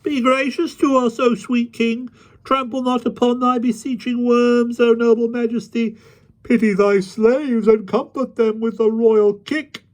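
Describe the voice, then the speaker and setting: A man's voice: extremely high pitch, flat delivery, measured pace, darkish tone, voice pushed up. A man voicing rowdy, mocking vagabonds in a storybook, crying out with exaggerated mock reverence. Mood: mock pleading. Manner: shrieking, mock-reverent exclamations. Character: mocking rowdy vagabonds hailing a fake king.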